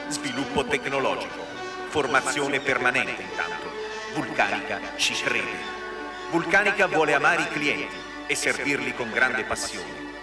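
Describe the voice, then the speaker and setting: Actor as bombastic corporate film narrator, echoing. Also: male voice, medium pitch, lightly acted. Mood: triumphant, solemn. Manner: grandiose, declamatory, slogan-like. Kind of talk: scripted promotional narration in radio drama. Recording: roomy echoing sound over music. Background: music.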